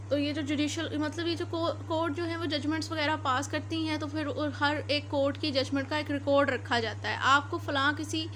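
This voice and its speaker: Female lecturer, Pakistani accent, high voice, teacherly explaining with repeated key points, slight noise behind. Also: fairly bright tone. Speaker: law lecturer. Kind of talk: explanatory lecture, reading clauses then explaining. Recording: faint background noise.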